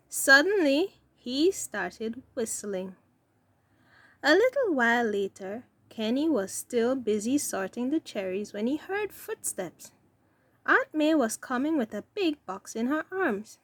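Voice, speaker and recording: Slow, expressive woman reading a story. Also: on the high side, no echo. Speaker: story reader. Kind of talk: read-aloud children's story narration.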